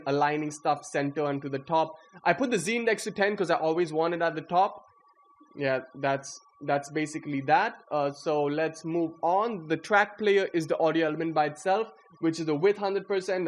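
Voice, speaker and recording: A young man giving a casual, slangy walkthrough of his code, excitable and self-deprecating. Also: a little high. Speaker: coder presenting own project. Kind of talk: casual screen-recorded coding walkthrough.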